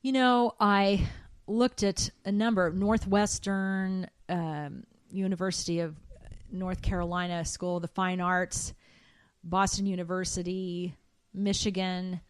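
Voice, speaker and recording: A woman's voice: unhurried, clean tone, no room sound. Dry-sounding woman telling her story. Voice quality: high soprano singer. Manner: animated, talks with many fillers and restarts. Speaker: guest. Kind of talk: conversational interview.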